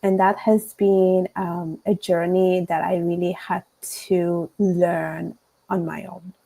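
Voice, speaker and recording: A woman's voice: lowish voice, little pitch movement, takes it slowly, creaky, warm tone, low-quality recording. A woman from Italy with a strong Italian accent, speaking earnestly as a podcast guest, with frequent fillers. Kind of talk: interview conversation.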